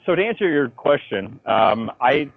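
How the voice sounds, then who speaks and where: A man being interviewed by phone as a writer, talking in an informal, halting but emphatic storytelling way. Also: mid-range voice, big pitch swings, creaky, no echo. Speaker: interviewee, comic book writer. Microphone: phone call. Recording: dull lo-fi phone call.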